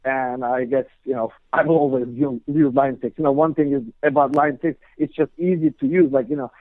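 A man's voice: medium pitch, steady tone, normal speed, dry recording. Man over a tinny phone line. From Turkey. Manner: rambling, heavy on "you know" and "like" fillers. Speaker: guest guitarist. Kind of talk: casual interview chat.

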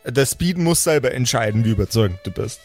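A man's voice: mid-range voice, lively intonation, brisk. A man speaking as game master, explaining things casually during a live tabletop game. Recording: faint music behind the voice.

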